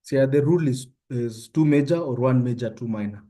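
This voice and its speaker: Young Kenyan male tutor, Kenyan accent, mellow voice, casual teaching that keeps checking in with listeners. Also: mid-range voice, a little fast. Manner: conversational, often checks in with "yeah?". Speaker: medical student tutor. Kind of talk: informal online tutorial.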